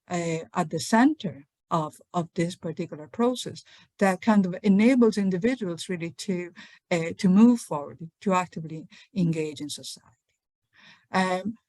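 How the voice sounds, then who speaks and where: Lower-voiced woman lecturing with fillers. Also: a little mellow, no echo. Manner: thoughtful, with frequent fillers and self-corrections. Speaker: academic lecturer. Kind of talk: webinar lecture.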